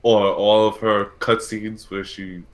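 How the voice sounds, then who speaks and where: A man co-hosting a podcast, giving opinions in casual, halting speech peppered with "like". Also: fairly low pitch, level tone. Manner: halting, heavy use of "like". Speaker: podcast co-host. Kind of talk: casual chat, opinionated commentary.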